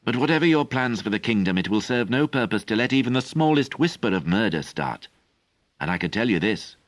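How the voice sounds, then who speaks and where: A man reading an audiobook, voicing a proud, guarded noblewoman who speaks in a measured, indifferent way. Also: lowish voice, sing-song, no echo, voice pushed up. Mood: cool, guarded. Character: proud noblewoman, a prince's mother, cool and guarded.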